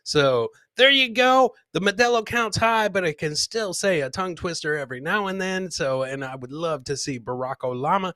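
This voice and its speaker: A man hosting a comedy podcast, bantering casually in a rowdy, rambling way with shouted bursts. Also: on the high side, expressive, moderate pace, hardly any pauses, dry recording, clean studio sound. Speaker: host of a live comedy podcast. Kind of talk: boisterous casual live banter with listeners.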